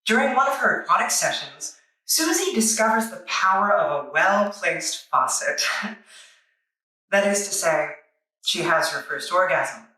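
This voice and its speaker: Bright-voiced woman in an echoey room. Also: on the low side, animated, measured pace. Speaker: comic book reviewer, video host. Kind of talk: semi-scripted review narration. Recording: echoey room, distant microphone.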